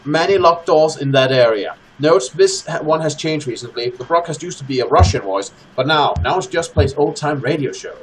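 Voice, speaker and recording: A man hosting a gaming let's play, chatting casually to his audience and reading game text aloud in an animated way. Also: on the high side, moderate pace, hardly any pauses, slight background noise, low-quality recording.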